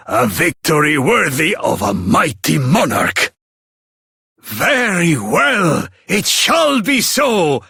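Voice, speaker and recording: A man's voice: high-pitched, gravelly, sharp tone, husky, no room sound, clean studio sound, heavily acted. A man playing a pompous, exuberant king in a fantasy video game, with grandiose theatrical delivery. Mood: jubilant proclaiming.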